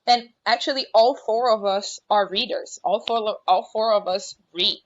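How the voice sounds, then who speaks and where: A woman's voice: mid-range voice, normal speed, lo-fi sound. A woman in her twenties, co-hosting a podcast in a candid, upbeat and brash way. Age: 20s. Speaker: podcast co-host. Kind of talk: casual panel chat.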